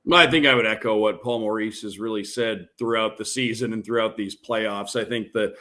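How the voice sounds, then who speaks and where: A man who calls play-by-play on radio, a guest on a sports podcast, talking in a measured, thoughtful way with some fillers over a remote call. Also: on the low side, steady tone, bright, forceful tone. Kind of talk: casual analytical sports chat.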